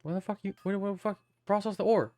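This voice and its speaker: A woman's voice: medium pitch, fairly even intonation, fast, mellow. A woman streamer giving casual, sardonic, sweary commentary while playing a game, close on the mic. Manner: sardonic, sweary, muttered asides.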